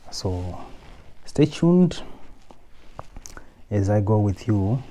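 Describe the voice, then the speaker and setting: Zimbabwean man in his thirties, unhurried vlogger, dark mellow tone. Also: on the low side, big pitch swings. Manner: slow, measured, repeats himself. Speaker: YouTube vlogger. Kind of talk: personal reflective vlog.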